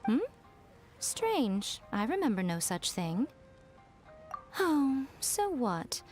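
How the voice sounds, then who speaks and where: A woman's voice: on the high side, very animated, extremely slow, over music, exaggerated voice. A woman voicing a sly, teasing, self-important lady in an anime video game, with a coy, playful delivery. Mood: haughty, feigning innocence.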